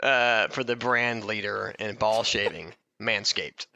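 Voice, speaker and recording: A man's voice: on the low side, slow pace, vocal fry, bright, forceful tone, no echo. A man co-hosting a loose comedy podcast, chatting in a wry, self-deprecating and casually crude way. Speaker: podcast co-host.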